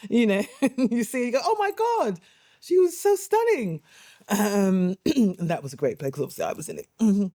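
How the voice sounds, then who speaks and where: A woman's voice: medium pitch, big pitch swings, moderate pace, dark tone, no room sound, clean studio sound. A middle-aged woman, an actress and playwright, chatting animatedly and laughing often in an interview. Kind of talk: interview, reminiscing.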